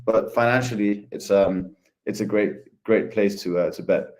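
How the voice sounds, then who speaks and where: A man chatting casually as a podcast guest, easygoing and hesitant with many pauses. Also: fairly low pitch, a little mellow. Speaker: head of ecosystem, podcast guest.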